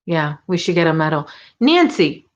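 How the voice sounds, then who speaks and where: A woman's voice: sits low, big pitch swings, moderate pace, dull audio. A woman with a Chicago accent hosting a casual crochet livestream from home, chatting and teasing while she works. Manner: chatty, reads viewer comments, teasing.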